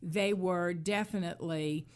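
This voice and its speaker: A woman's voice: fairly low pitch, steady tone, unhurried, a little mellow, clean tone. A woman co-hosting a podcast, chatting casually and thinking aloud about old television. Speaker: podcast co-host. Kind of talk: casual chat, nostalgic TV commentary.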